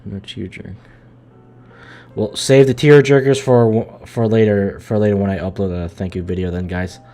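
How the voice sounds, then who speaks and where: A man's voice: on the low side, fairly even intonation, normal speed, mellow. A man live-streaming a game, chatting informally and reacting aloud in a joking way. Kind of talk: casual live-stream commentary. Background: game music.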